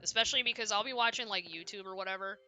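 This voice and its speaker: A young woman chatting casually and loudly on a game livestream, rambling and often cutting herself off as she plays. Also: mid-range voice, rapid-fire, clear, resonant tone, faint music behind. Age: young adult. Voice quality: loud voice. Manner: rambling, self-interrupting, frequent "like". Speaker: video game streamer. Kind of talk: casual livestream chat while playing.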